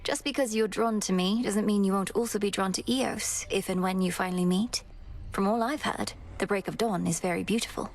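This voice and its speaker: An actress playing a poised young goddess princess in a video game, formal and composed, earnest and guardedly fond. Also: female voice, medium pitch, steady tone, brisk, close to an everyday voice. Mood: thoughtful, guarded. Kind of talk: scripted video game romance dialogue.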